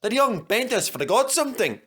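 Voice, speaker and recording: Man doing a cheeky high-voiced Scottish engine. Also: big pitch swings, normal speed, fairly bright tone, slightly rough, full character voice. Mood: mischievous. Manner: sly, cheerful. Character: cheeky, mischievous male twin Scottish steam engines.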